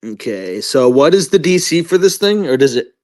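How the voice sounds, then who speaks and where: A man's voice: mid-range voice, big pitch swings, normal speed, darkish tone. A man chatting casually as a player in a tabletop role-playing game.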